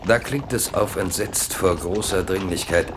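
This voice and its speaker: A man's voice: deep voice, unhurried, noisy background. A man narrating a literary radio play from a script as its storyteller.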